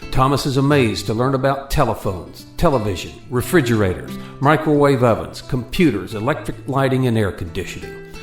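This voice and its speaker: A man narrates a scripted radio drama in a mid-range voice. The sound is echoey, with faint plucked guitar underneath. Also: medium pitch. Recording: roomy, echoing sound. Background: plucked guitar music.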